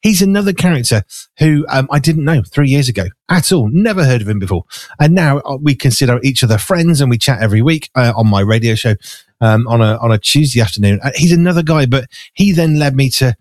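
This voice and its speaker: A man with a raspy, gravelly, hoarse voice, an animated radio host chatting effusively with many restarts. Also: medium pitch, fairly quick, dry recording, studio quality. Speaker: radio host and magazine editor. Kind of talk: casual conversation. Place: farmhouse.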